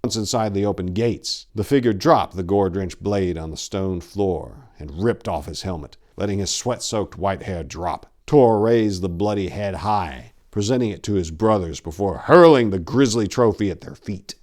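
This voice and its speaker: A man's voice: sits low, a little mellow. A man narrating in a theatrical, brooding style, like a dramatic story reading. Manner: theatrical, brooding, deliberate delivery. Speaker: narrator and channel host.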